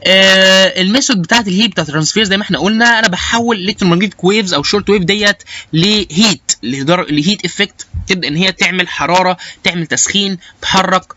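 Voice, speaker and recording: A man's voice: high-pitched, sharp tone, no echo. A man with an Egyptian Arabic accent giving an informal lecture, mixing in English technical terms and often hesitating and restarting. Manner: halting, with frequent hesitation sounds and repeated starts.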